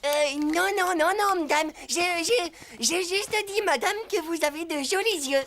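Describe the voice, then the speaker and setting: A woman voicing a cheeky, hot-headed schoolboy of about ten in a French cartoon, with a creaky childlike voice and slangy, exclamatory delivery. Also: extremely high pitch, a little slow, a bit bright, full character voice. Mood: sheepish. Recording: music behind the voice.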